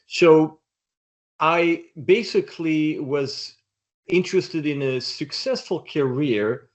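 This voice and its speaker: A man's voice: fairly high pitch, slow. A middle-aged man from Belgium, a guest in an interview, speaking in a reflective, thoughtful way. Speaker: interview guest, doctoral student. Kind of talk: casual interview chat.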